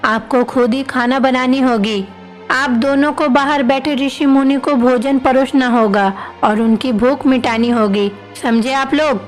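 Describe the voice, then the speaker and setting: A woman's voice: high voice, steady tone, unhurried, soft background music. A woman playing a stern mother goddess, scolding and commanding, in devotional storybook dialogue.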